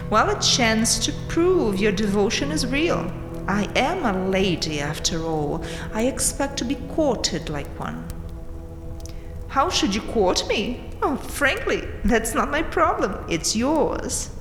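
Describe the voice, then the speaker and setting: A woman's voice: on the high side, exaggerated voice. A woman playing a haughty, mocking vampire queen in a dramatic audio roleplay, taunting with theatrical mock sweetness and seductive menace. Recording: roomy, long hall-like reverb. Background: dark castle ambience music.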